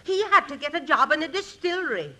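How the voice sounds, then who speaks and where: A woman's voice: sits high, sing-song, brisk. A woman playing a blunt, wry widowed Irish-American mother in a theatrical 1950s radio comedy style. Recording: crackly, noisy 1950s radio broadcast.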